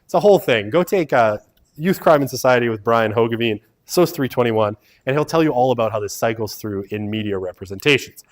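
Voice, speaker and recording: A man's voice: medium pitch, brisk. A man giving a university lecture to a class on a wireless mic, talking in a loose conversational way with many "right?" tags and fillers. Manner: animated, swings to loud emphasis and mutters asides. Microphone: wireless lavalier mic.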